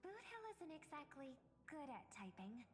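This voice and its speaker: An actress playing an earnest, composed young woman leader in a video game, speaking in a measured, sincere way. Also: high-pitched, sing-song, big-room sound, faint music behind. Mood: puzzled, concerned. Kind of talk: scripted video game voice acting.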